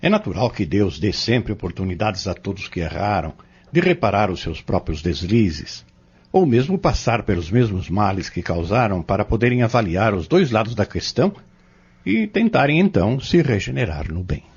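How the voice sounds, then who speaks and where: A man with a Brazilian accent playing a calm, kindly pharmacist who teaches young men in a measured, solemn way in an audio drama. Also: lowish voice, breathy edge, dull audio, exaggerated voice. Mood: solemn, teaching.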